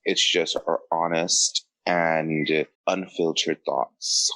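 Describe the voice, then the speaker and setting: A man's voice: low-pitched, steady tone, takes it slowly, pushed and bright, dry recording, low-quality recording. A man co-hosting a podcast, chatting casually with blunt, shady humour. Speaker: podcast co-host. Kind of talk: casual opinionated podcast chat.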